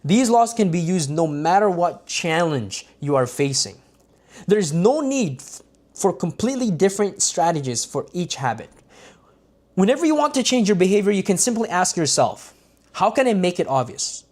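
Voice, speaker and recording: A man's voice: sits high, very animated, normal speed, a little mellow. A man reading a self-help book aloud on a livestream, with stumbles and restarts and casual asides to his viewers.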